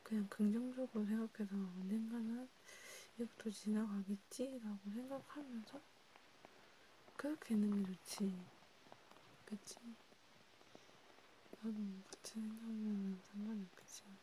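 A woman's voice: medium pitch, moderate pace, long pauses, rough recording. A woman whispering breathily and hesitantly, close to the mic, in a casual ASMR roleplay conversation. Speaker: ASMR performer. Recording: steady background hiss and noise.

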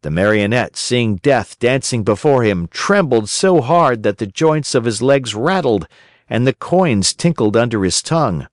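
Expressive male storyteller, lively intonation. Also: mid-range voice, measured pace, no room sound, studio quality. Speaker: audiobook narrator. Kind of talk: scripted children's story narration.

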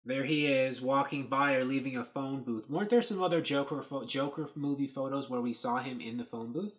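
A man's voice: medium pitch, brisk, poor audio. Rambling man musing at his webcam. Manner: rambling, thinking aloud with many fillers. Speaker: host. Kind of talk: casual commentary on set photos. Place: small dark room indoors.

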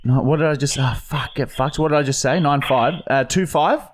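A man's voice: on the high side, brisk. An Australian man in his thirties hosting a comedy podcast, talking in a casual, hesitant, sweary way on a studio microphone. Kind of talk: casual banter and prank calling. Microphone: boom-arm studio microphone.

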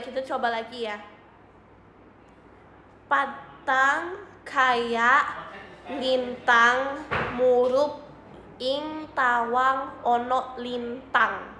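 A young woman on a casual livestream, her voice high and unhurried. She is playful and giggly and drops English phrases into her chat. The small room leaves a roomy echo and a faint hiss behind her. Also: pushed and bright. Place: small indoor room, seated at a desk. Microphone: phone or webcam in front of her.

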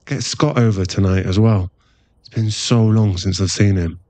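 A man's voice: on the low side, steady tone, normal speed, a little mellow, no room sound, natural voice. A man playing a playful, affectionate, laddish werewolf boyfriend in a casual, teasing ASMR roleplay. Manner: casual, laddish, teasing, drops into murmured asides.

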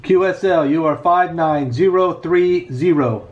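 A man's voice: on the high side, measured pace, dull audio. A middle-aged man with an American accent talking casually and informally as an amateur radio hobbyist. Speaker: amateur radio operator and vlogger. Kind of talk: casual vlog talk with radio call-outs. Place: small home radio room, indoors. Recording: noisy room with radio static.

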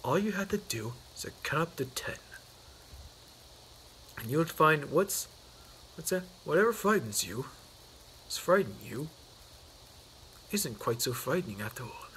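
A man voicing a gentle, worldly old tramp who speaks softly and kindly. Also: on the high side, very animated, slight background noise, poor audio. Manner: soft, slow and kindly.

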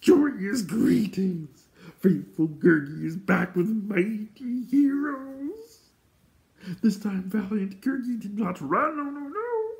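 A man voicing a small, excitable, fawning creature in an audiobook, boasting of himself in rhyming, gleeful bursts. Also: very high voice, gravelly, voice pushed up, full character voice. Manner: rhyming, third-person self-praise in gleeful bursts. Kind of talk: audiobook character voice.

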